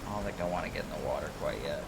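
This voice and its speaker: A man's voice: rumbling low, very fast, creaky, very noisy. A man doing casual let's-play commentary, informal and sweary, reading game dialogue aloud as he plays. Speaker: game streamer.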